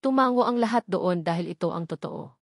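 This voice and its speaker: A woman's voice: fairly low pitch, lively intonation, a little fast, vocal fry, dry recording, clean studio sound. A woman reading a scripted story as an audiobook narrator.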